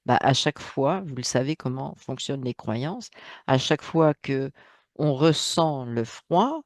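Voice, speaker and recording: A man's voice: mid-range voice, animated, unhurried, no echo. A man hosting his own channel, giving a spoken monologue in a hesitant way with many fillers, restarts and emphatic asides. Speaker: channel host.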